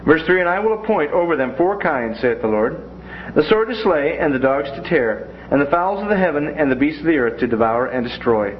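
A man's voice: a little high, lively intonation, fairly quick, few pauses. A man teaching a Bible class in a classroom in a folksy way, with joking asides. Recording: dull lo-fi classroom recording with faint noise.

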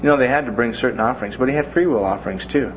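A man's voice: mid-range voice, very fast. A man teaching a Bible class in a classroom in a folksy way, with joking asides. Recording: dull lo-fi classroom recording with faint noise.

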